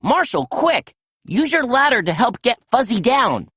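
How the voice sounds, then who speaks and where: A woman's voice: fairly high pitch, very animated, a little slow, fairly bright tone, breathy edge, dry recording, studio quality, pitched above the performer's own voice, exaggerated voice. A woman voicing a confident, caring boy who leads rescue pups in a storybook, giving urgent instructions.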